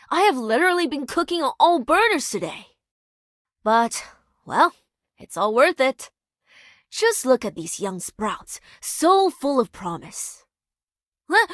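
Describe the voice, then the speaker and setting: A woman voicing a busy, fussy, short cook in a video game, with an expressive delivery. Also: high voice, no echo, studio quality, full character voice.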